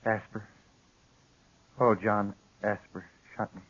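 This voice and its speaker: An actor in a 1950s radio western playing a wounded lawyer, speaking weakly and haltingly in a breathy voice. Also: male voice, on the low side, little pitch movement, a bit bright. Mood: weak, accusing. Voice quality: husky, breathy. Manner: halting, feeble. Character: wounded, weak frontier lawyer telling his tale. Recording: dull old radio recording.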